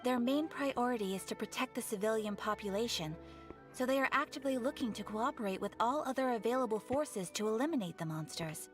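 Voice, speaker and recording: A woman's voice: a little high, lively intonation, fairly quick, faint music behind, lightly acted. A woman playing an earnest, courteous official who gives formal briefings in a video game. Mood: earnest, concerned. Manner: polite, formal.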